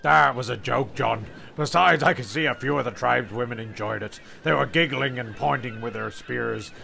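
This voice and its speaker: A man's voice: medium pitch, normal speed, gravelly, pushed and bright, a little hiss or hum. A man playing a wry, exasperated comedy narrator, sweary and stumbling through his script. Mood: teasing. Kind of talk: comic scripted narration with ad-libs.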